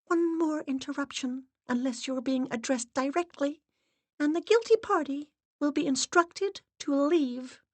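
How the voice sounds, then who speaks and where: A strict, commanding assistant at a séance, put on by a woman narrator. She gives orders like a disapproving headmistress, high and forceful in a slow, dry storybook read. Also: no room sound. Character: stern female medium's assistant, commanding.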